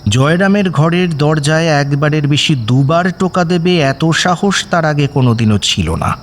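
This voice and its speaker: A man's voice: a little high, expressive, normal speed, slight background noise. A man reading dramatic scripted narration as the storyteller of an audio drama.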